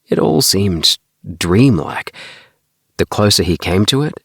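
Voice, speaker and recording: Man, audiobook reader, low voice, slow unhurried delivery, scripted bedtime narration. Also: big pitch swings, creaky, a bit bright, husky, no room sound, studio quality.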